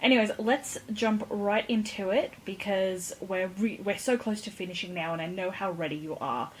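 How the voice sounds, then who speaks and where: A woman with a mid-pitched voice co-hosts a podcast in loose, casual banter. She is wry and joking. A little background noise sits behind her voice. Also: normal speed, rough recording. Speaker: podcast co-host. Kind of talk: casual comedic chat.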